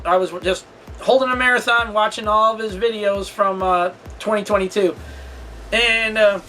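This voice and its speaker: A man with a notably high voice talks through a personal story in a casual vlog style. His delivery is halting and rambling, with a candid, confessional feel. Music plays faintly behind him. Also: pushed and bright. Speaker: storytelling vlogger and channel host.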